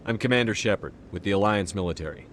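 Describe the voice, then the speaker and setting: A man's voice: on the low side, expressive, quick pace, a little hiss or hum, natural voice. A man playing a blunt, self-assured military commander in a video game, speaking in a direct, matter-of-fact way. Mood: firm.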